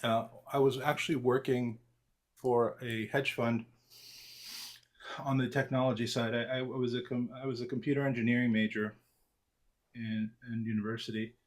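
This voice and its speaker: A man in his forties, born in Argentina, answering interview questions in a relaxed, self-deprecating way with many fillers and restarts. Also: mid-range voice, normal speed, long pauses. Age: 40s. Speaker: trader, interview guest.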